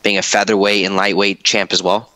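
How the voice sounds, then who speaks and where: A man's voice: fairly low pitch, level tone, a little fast, pushed and bright, no echo, rough recording. A man, a reporter, asking a fighter questions in a friendly, chatty way. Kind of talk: press question.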